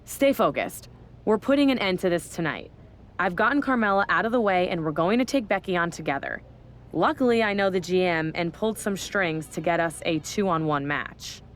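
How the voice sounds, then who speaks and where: A woman's voice: fairly low pitch, lively intonation, moderate pace, a little hiss or hum, lightly acted. A woman playing a bossy wrestling general manager with a stern, commanding manner in a video game cutscene. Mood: exasperated, determined. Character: bossy, scheming female wrestling general manager, easily angered. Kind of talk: scripted game cutscene dialogue.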